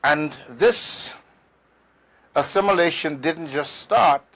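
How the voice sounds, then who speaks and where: A man's voice: fairly high pitch, slow pace, fairly bright tone. A man, a guest historian explaining at length in a conversational interview, emphatic, with fillers and restarts. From Turks and Caicos Islands.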